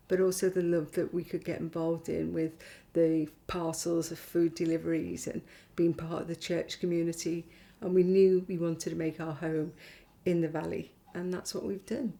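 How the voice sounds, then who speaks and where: Middle-aged female community member, mellow slightly low voice, reflective testimony delivery. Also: creaky. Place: indoors on a living room sofa.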